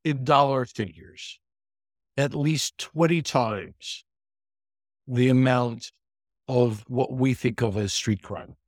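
A man hosting an interview podcast, putting questions deliberately in short, measured phrases. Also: mid-range voice, no room sound, studio quality.

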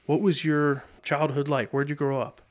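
A man's voice: on the high side, little pitch movement, normal speed. A man with an American accent hosting a casual interview podcast in a studio. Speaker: podcast host.

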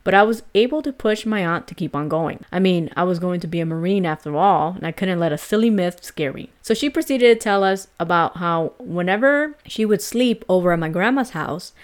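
A woman's voice: lowish voice, lively intonation, brisk, few pauses. A young adult woman from El Salvador, hosting a casual podcast, chatting and laughing easily as she retells and reads out a ghost story. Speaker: podcast host.

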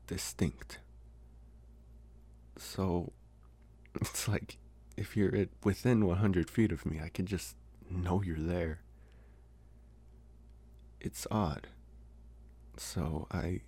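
A man playing a smitten young college vampire in an intimate, hesitant, soft-spoken ASMR roleplay. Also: deep voice, husky, exaggerated voice. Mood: intrigued, intimate. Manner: hesitant, soft-spoken, slow with long pauses. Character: young male college vampire, smitten, calm, gently possessive. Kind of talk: ASMR roleplay, intimate one-sided dialogue.

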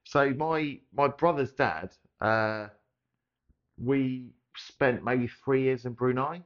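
A man hosting a casual podcast talks in an animated, teasing way and swears freely. His pitch is in the middle range for a man. The sound is dull, as if slightly muffled. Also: slow pace. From Surrey, England. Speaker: podcast host. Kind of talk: casual chat.

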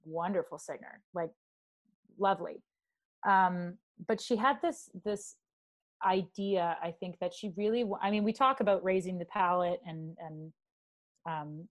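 Young canadian woman, low talking voice, rambly and chatty, lots of fillers. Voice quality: low speaking voice. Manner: chatty, loose, heavy with filler words. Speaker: guest singer. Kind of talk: casual podcast chat.

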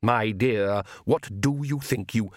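A man's voice: on the low side, slow, no echo, clean studio sound. A man voicing a haughty, exasperated male vampire patriarch in an audiobook, with a pompous, formal manner. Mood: indignant.

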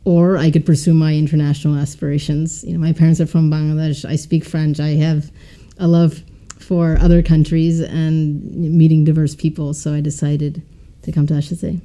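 A woman who was a doctor, now an MBA student, giving calm, composed interview answers. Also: low-pitched, moderate pace.

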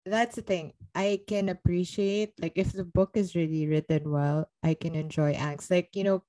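A woman's voice: lowish voice, steady tone, moderate pace, dark tone, dry recording, rough recording. A woman co-hosting a book podcast, chatting casually with blunt, emphatic opinions and a ready laugh.

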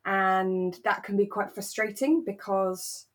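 A woman co-hosting a podcast, talking in a relaxed, chatty and reflective way. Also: medium pitch, takes it slowly. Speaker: podcast co-host. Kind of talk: relaxed casual discussion of a radio drama.

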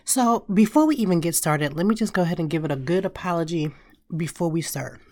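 A woman in her thirties hosting a casual solo podcast, hoarse and raspy from a cold, chatting and telling stories straight to her listeners. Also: fairly low pitch, animated, fairly quick, darkish tone, no room sound, clean studio sound. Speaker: podcast host.

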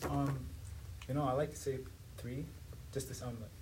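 A man's voice: medium pitch, fairly even intonation, normal speed. Young Tanzanian man, distant and noisy recording. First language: Swahili. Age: young adult. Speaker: podcast guest, university student. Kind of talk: casual interview chat.

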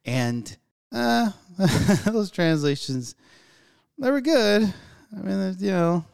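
A man's voice: high-pitched, expressive, slow, creaky, darkish tone, clear, resonant tone. A man hosting a solo talk show, speaking earnestly in a musing, reflective way with frequent restarts. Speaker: podcast host.

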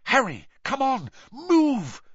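Frightened teenage girl played by a man, pleading and pressing, raised pitch, storybook narration style. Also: huge pitch swings, slow pace, a bit bright, slightly rough, dry recording, muffled recording, heavily acted. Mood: terrified, urgent.

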